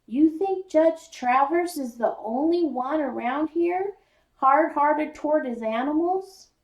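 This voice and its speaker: A woman's voice: high voice, expressive, slow pace, highs cut off, voice pushed up. A woman voicing a stern, plain-spoken father who lectures his son in folksy rural phrasing, for a children's story. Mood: sharp, heated. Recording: roomy echo, distant mic.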